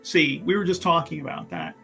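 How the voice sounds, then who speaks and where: A man with a fairly high voice talks through his moves in a tabletop roleplaying game. He is wordy and casual, reworking his phrases as he thinks aloud. Soft music plays behind him. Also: fairly quick, gravelly, slightly rough.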